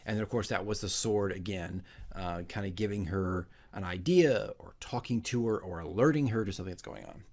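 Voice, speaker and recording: A man's voice: fairly low pitch, brisk, creaky, darkish tone. A man working as a dungeon master, presenting his fantasy radio drama. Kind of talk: dramatic narration and casual commentary.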